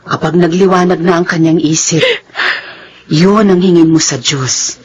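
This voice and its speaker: An actor with a Filipino accent playing a patient, consoling man in a radio drama, soothing and measured. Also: a little high, fairly even intonation, muffled recording, slight background noise, lightly acted. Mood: tender consoling. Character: gentle, consoling male confidant, patient and wise.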